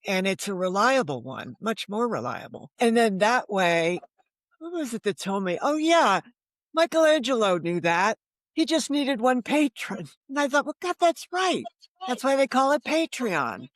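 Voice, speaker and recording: A woman's voice: a little high, sing-song, unhurried, no echo. An American woman in her seventies chatting in a casual interview, anecdotal and emphatic in how she talks. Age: 70s. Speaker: podcast guest, novelist.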